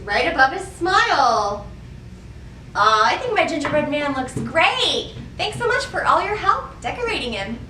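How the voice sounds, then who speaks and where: High, sing-song woman hosting children's storytime. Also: very animated, moderate pace, sharp tone. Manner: sing-song, exaggerated questioning for young children. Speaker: children's library storytime host. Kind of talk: children's storytime instruction and picture-book reading. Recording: roomy, distant mic, faint background noise.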